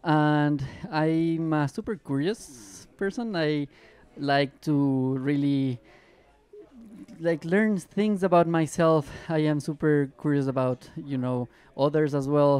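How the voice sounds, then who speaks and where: A man's voice: fairly high pitch, fairly even intonation, unhurried, darkish tone, smooth. A tired man, an interview guest talking openly and with enthusiasm. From Ecuador. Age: 30s. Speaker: guest, trail runner. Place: pop-up store at a race event.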